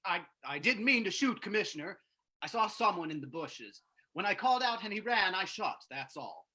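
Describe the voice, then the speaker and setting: A man acts out a solicitous, genteel host from an old-time radio drama. His high voice moves up and down freely. He speaks in a courtly, slightly halting way with a piercing edge. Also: sing-song, pushed and bright, exaggerated voice. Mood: defensive.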